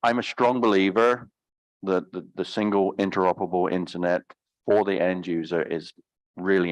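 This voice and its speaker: Man, low voice, halting conference panelist, dry and close. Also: no room sound, muffled recording. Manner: halting, repeats words while thinking. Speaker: panel discussant.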